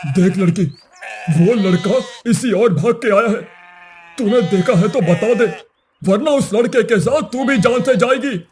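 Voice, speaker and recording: A man playing a menacing enemy soldier in a cartoon story, gruff, threatening and commanding. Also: sits high, a little slow, a little mellow. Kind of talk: Hindi cartoon moral story dialogue.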